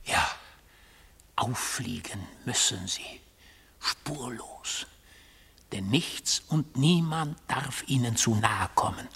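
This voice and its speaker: An actor playing a stern, ominous man of the court in a theatrical radio play monologue, with a raspy voice. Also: medium pitch, expressive, slow, pauses often, a bit bright, heavily acted. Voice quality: gravelly, raspy.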